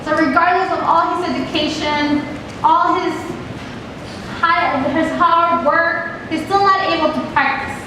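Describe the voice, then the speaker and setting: A woman's voice: very high voice, fairly even intonation, unhurried, background noise. A woman from Mexico with a Mexican Spanish accent, giving an earnest, direct talk to a class and asking the students questions. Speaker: guest speaker to a class. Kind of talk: classroom presentation. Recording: echoey classroom from far off.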